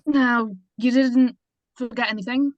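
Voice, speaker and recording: A woman performs an uncomfortable mother of about sixty who nags and fusses. Her voice sits on the high side, with a very dry sound. The delivery is that of a drama script read at the table. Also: fairly even intonation, measured pace, dry recording, dull audio, natural voice. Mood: fussy, disapproving. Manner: fussy, chiding.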